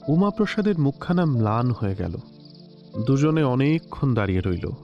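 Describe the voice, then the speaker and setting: A man narrating a classic story in a measured, expressive literary style. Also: mid-range voice. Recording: slightly dull lo-fi recording with soft music.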